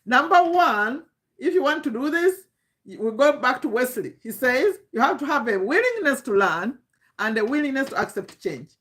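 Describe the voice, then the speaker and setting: Higher-pitched Ugandan woman coaching informally. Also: sing-song, moderate pace, fairly bright tone. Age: 50s. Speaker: online business coach. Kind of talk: informal motivational talk to camera.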